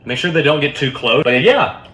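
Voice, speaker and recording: A man's voice: a little high, expressive, normal speed, bright, forceful tone. A man giving a casual, unscripted product demo, rambling informally and peppering his talk with filler words. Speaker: product reviewer. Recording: roomy echo, faint background noise.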